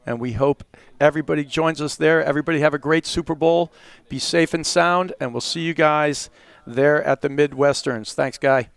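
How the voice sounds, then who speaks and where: A man talking through a match as a commentator, loose and conversational, with a slightly higher voice than most. The sound is dry and close, with no room around it. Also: normal speed, clear, resonant tone, no room sound. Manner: chatty, conversational, fillers like "you know". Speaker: play-by-play commentator. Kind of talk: sports commentary wrap-up.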